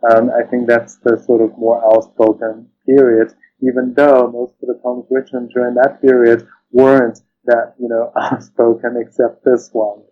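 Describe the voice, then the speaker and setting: A man's voice: medium pitch, little pitch movement, moderate pace, hardly any pauses, narrow, tinny audio, slight background noise. A man speaking as an interview guest and translator, thoughtful and hesitant, often rephrasing as he reflects.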